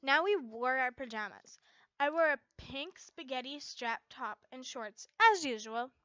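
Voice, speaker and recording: A young adult woman narrating a fan fiction story in an expressive amateur style, recorded up close at home. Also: high-pitched, unhurried, no echo, lo-fi sound.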